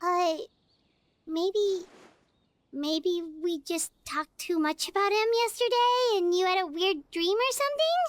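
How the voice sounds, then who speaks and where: A woman voicing a small, excitable fairy girl companion in a video game, squeaky and childlike. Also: unhurried, a little hiss or hum. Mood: confused, concerned. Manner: refers to herself in third person, exclamatory. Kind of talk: video game character acting.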